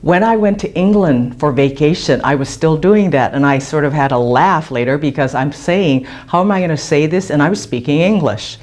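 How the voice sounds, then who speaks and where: A woman, a teacher, reflecting on her own language learning in a measured way. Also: low voice, lively intonation, darkish tone. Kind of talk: reflective personal testimony.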